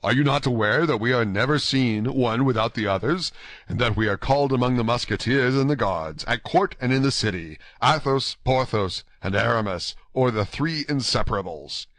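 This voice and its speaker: A man voicing a gracious, dignified, wry gentleman musketeer in audiobook dialogue, with a measured and formal manner. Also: medium pitch, no echo, close to an everyday voice. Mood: calm, explanatory.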